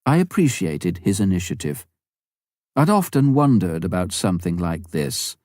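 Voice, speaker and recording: Low, mellow male audiobook narrator. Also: no echo, studio quality. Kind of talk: scripted audiobook narration of a memoir.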